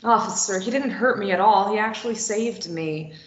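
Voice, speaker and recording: A woman's voice: mid-range voice, moderate pace, lightly acted. A woman in a table read voicing a stubborn young woman who answers in a dry, understated way. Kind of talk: table read of a stage play. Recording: roomy, muffled lo-fi recording.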